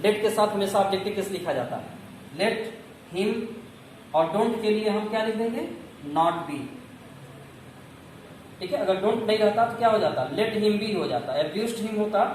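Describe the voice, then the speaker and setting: A man with a North Indian accent teaching grammar in a didactic classroom style, often asking questions and answering them himself. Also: high voice. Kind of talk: classroom-style lesson in Hindi with English terms. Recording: very echoey room, distant mic, faint noise.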